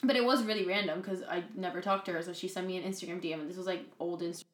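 A woman's voice: lowish voice, fairly even intonation, very fast. A young woman co-hosting a podcast, telling a story in a chatty, laughing way. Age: young adult. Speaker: podcast co-host. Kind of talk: casual chat. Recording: echoey room.

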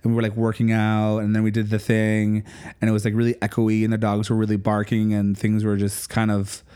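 A man's voice: on the low side, monotone, fairly quick, mellow, smooth. A man co-hosting a casual podcast chat, joking and rambling with lots of fillers. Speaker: podcast co-host.

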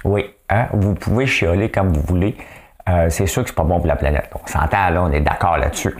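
A man's voice: deep voice, a little fast. A man with a Quebec French accent hosting his own show alone, chatting casually with joking asides and frequent tag questions. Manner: chatty, asks "hein?" often, joking asides. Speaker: solo online show host. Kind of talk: casual solo commentary show.

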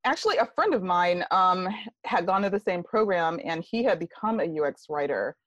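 A woman guest on a podcast interview talking about her career in a relaxed way, with many fillers. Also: on the low side, moderate pace, no room sound, lo-fi sound. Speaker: guest, UX writer. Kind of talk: casual interview.

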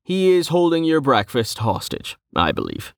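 A man voicing a dryly witty, formal assistant with a deadpan manner in an audiobook. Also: a little high, moderate pace, creaky, no echo, clean studio sound, voice pushed up, full character voice. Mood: dry, teasing.

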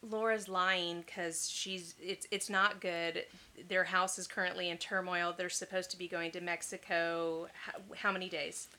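A woman's voice: lowish voice, level tone, a little slow, pushed and bright. A woman hosting a chat podcast, chatty and teasing, often restarting her sentences. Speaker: podcast host.

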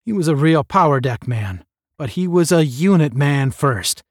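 A man voicing a seasoned male space officer who speaks forcefully and with pride to young cadets. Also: a little high, expressive, moderate pace, no room sound, clean studio sound. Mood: earnest, proud. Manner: forceful, proud, instructive.

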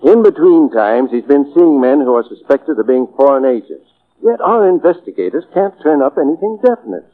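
Casting: male actor for a genial, persuasive senator in 1940s radio style, mid-pitched with wide swings, phone-like sound. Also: very animated, brisk, lightly acted. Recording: tinny old-time radio recording.

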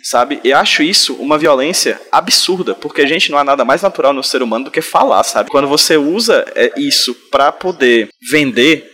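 A man's voice: a little high, lively intonation, normal speed, pushed and bright. A man from Ceará, talking passionately in a casual group podcast conversation, with many restarts and tag questions. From Northeast Brazil, Ceará. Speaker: podcast guest, comics creator.

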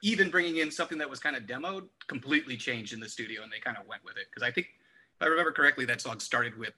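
Very bright, slightly husky man explaining music. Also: mid-range voice, quick pace, poor audio. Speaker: podcast co-host. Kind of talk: informed music discussion.

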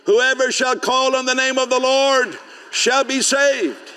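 A man preaching a sermon in English, which is not his first language, swinging from loud rising declarations to soft, chatty asides and often repeating words as he starts a phrase. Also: very high-pitched, fairly even intonation, takes it slowly, smooth, long reverb tail.

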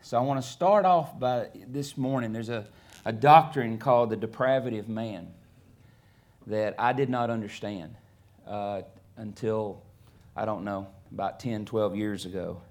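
Middle-aged Southern US preacher, slightly low voice. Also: male voice, a little mellow. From Alabama, USA. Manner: conversational, halting, many fillers and restarts. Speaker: guest preacher. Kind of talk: sermon with scripture reading.

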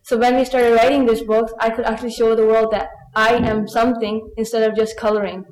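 A woman's voice: on the high side, level tone, fairly quick, a little mellow. Echoey teenage girl, native Punjabi speaker. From Pakistan. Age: teens. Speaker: student speaker at a conference. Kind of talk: personal account to an audience. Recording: echoey room, played-back conference recording.

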